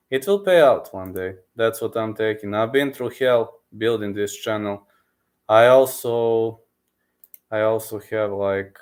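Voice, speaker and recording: A man's voice: on the low side, a little mellow. A man from Serbia with a Balkan accent, hosting a casual live stream and eagerly thanking viewers, repeating himself and calling them man and bro. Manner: enthusiastic, repetitive, keeps calling listeners man and bro.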